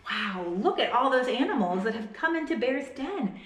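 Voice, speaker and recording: A woman reading a picture book aloud to young children, with deliberate, dramatized phrasing and drawn-out words. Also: a little high, animated, slight background noise. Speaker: children's storyteller. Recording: echoey room.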